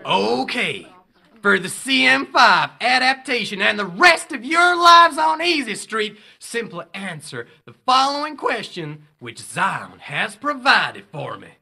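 An actor playing a slick, theatrical game show host in a dystopian audio drama. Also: male voice, high-pitched, sing-song, unhurried, vocal fry, sharp tone, slightly rough, exaggerated voice. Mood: suspenseful. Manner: theatrical, gushing announcer delivery.

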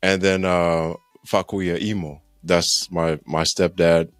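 A man's voice: low-pitched, flat delivery, slow pace, no room sound, faint music behind. A man in his thirties, a podcast guest talking casually about growing up, rambling and laughing often, on a studio mic at home over a video call. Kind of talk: casual interview chat. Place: home room, indoors. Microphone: studio mic with headphones, video call.

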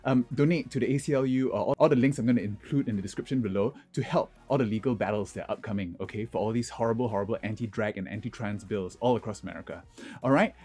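A man with a husky voice, a drag queen giving chatty, casual vlog commentary and often checking in with "okay". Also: lowish voice, fast talker, darkish tone. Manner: chatty, punctuates points with "okay".

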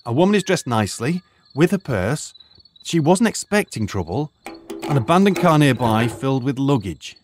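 An actor playing a retired archaeologist turned high school teacher, measured and explanatory in an audio drama. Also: male voice, big pitch swings, slight background noise, lightly acted. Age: older.

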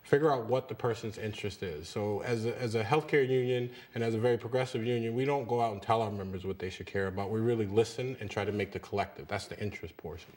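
A man's voice: on the low side, quick pace, hardly any pauses, a little mellow. A man in his thirties, a union leader speaking earnestly as a guest in a TV studio interview. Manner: earnest, repeats words when emphasizing. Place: small TV studio.

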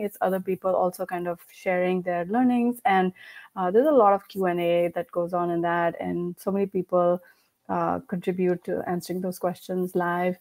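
A woman with an Indian accent talks as a guest on a remote podcast, speaking through a headset from a room at home. She is chatty, laughs often and fills her sentences with "like" and "you know". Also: mid-range voice. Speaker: podcast guest, company founder. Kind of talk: casual interview chat. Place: home room, video call. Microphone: headset with mic.

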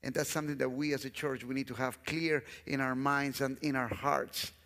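Guatemalan pastor preaching in a big hall. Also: male voice, medium pitch, little pitch movement, normal speed, fairly bright tone. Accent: Guatemalan Spanish. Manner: earnest, repeats phrases, walks the stage. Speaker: guest pastor. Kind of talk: sermon. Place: large church stage. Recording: long church hall reverb.

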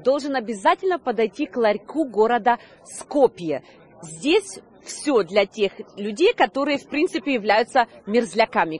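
A woman in her thirties with a fairly high voice reports on location, speaking into a handheld microphone outdoors. She presents to camera in a semi-scripted way, stumbling slightly now and then. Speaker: video news presenter. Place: outdoor Christmas market.

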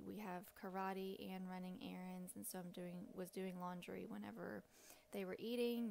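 A woman's voice: mid-range voice, gravelly, darkish tone. A middle-aged woman giving a chatty, rambling, informal voice-over as a home cooking vlogger. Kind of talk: casual instructional voice-over.

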